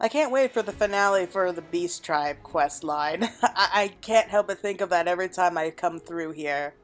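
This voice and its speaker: A woman's voice: on the low side, moderate pace. A woman giving casual let's-play commentary, chatting and musing aloud to her viewers as the host. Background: game music.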